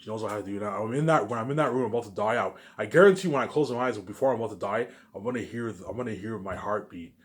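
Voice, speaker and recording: Man, fairly low voice, rapid pace, casual vlog rambling, repetitive, sweary, emphatic bursts. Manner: swings between loud emphatic bursts and quieter asides. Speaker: vlogger.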